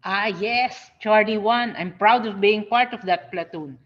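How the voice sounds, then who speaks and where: A woman's voice: mid-range voice, a little slow, natural voice. A woman with a Filipino accent playing a proud, mischievous alumna in an online table read. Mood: proud nostalgic. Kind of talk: table read of a reunion script over video call. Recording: dull video-call audio.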